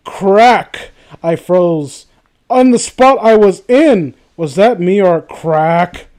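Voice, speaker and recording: High-voiced man, sarcastic read-aloud host. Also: animated, drawn-out words, a little mellow. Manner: sarcastic, incredulous, swearing. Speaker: host reading a story aloud. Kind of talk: comedic read-aloud with riffing commentary.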